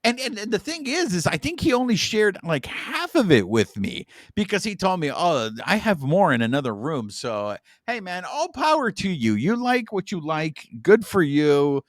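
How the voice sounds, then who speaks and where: A man's voice: high-pitched, moderate pace, hardly any pauses, no echo, clean studio sound. A man in his forties, a native Spanish speaker, co-hosting a podcast in playful, hyped-up casual chat. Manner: lively, playful hype. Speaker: podcast co-host.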